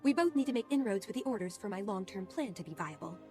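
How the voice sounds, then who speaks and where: An actress playing a brisk, scheming mentor who gives orders in a fantasy video game. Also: female voice, medium pitch, lively intonation, over music, close to an everyday voice. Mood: directive.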